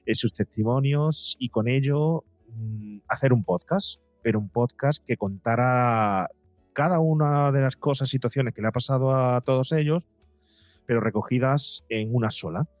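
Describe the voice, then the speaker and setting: Man, mid-pitched voice, podcast guest, long reflective explanations, very dry recording, faint music behind. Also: unhurried, dry recording. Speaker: psychologist and podcaster, interview guest. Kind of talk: explanatory interview talk. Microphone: close podcast mic, seated at a table.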